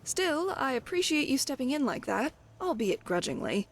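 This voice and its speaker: A woman's voice: high voice, big pitch swings, normal speed, full character voice. A woman playing a glib, evasive thief companion in a fantasy video game, talking in a wry, coy way. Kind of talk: scripted video game dialogue.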